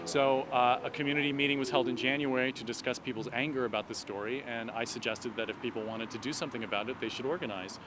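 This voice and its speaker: A man speaking as a doctor and activist, explaining an issue in measured, articulate phrases during a street interview. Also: medium pitch, fairly even intonation. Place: outdoors on an urban street at a protest. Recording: noisy city street with traffic. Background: street and traffic noise.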